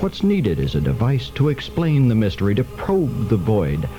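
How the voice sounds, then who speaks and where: A man acting as a solemn narrator in an old-fashioned radio mystery, deliberate and dramatic. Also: on the low side, wildly swooping pitch, warm tone, dull audio, faint music behind. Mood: solemn, mysterious.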